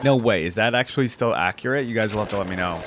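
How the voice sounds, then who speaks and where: A man's voice: on the low side, quick pace, a bit bright, a little hiss or hum. A man giving casual, off-the-cuff reaction commentary on video game skins as a video host.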